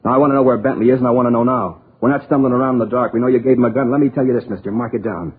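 An actor playing a blunt, menacing police detective in a radio crime drama, with a husky voice, on a tinny old recording. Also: male voice, mid-range voice, fairly even intonation, racing. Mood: angry, threatening. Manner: blunt, menacing, clipped orders. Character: hard, threatening police detective, protective family man.

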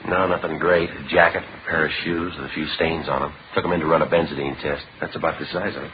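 A man playing a terse, methodical police detective in a vintage radio crime drama, speaking in a matter-of-fact, deadpan way. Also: a bass voice, fast, background noise. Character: terse, methodical male police detective narrating his case. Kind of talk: 1950s radio crime drama, clipped scripted narration. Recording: dull old radio broadcast with music.

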